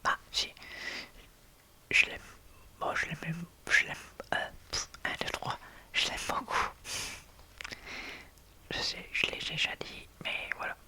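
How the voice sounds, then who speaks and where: An ASMR creator whispering casual chat in a hesitant, halting way, often searching for words. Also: pushed and bright.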